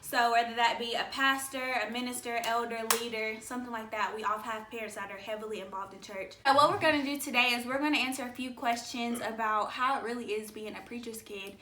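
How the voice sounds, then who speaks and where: Bright-voiced young woman hosting a vlog. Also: fairly high pitch, steady tone, fairly quick, hardly any pauses. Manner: friendly, chatty, leads the questions. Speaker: YouTuber host. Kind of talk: casual vlog chat. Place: indoor room with guests. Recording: echoey room, distant mic, faint noise.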